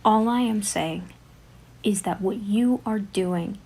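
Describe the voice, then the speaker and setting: A woman leaving an earnest recorded complaint message, speaking in halting, deliberate, formal phrases. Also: mid-range voice, a little mellow, slight background noise. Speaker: voicemail sender.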